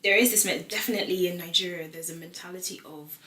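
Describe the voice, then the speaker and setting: A woman's voice: on the low side, quick pace, bright, forceful tone. A woman in a casual group discussion on a talk show, making her points emphatically. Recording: roomy studio echo.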